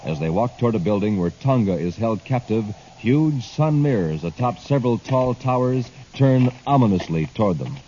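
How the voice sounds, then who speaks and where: A man's voice: medium pitch, lively intonation, moderate pace, hardly any pauses, dark tone. A man announcing and narrating in an emphatic, dramatic fifties radio style. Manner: emphatic, theatrical. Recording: noisy muffled old radio recording.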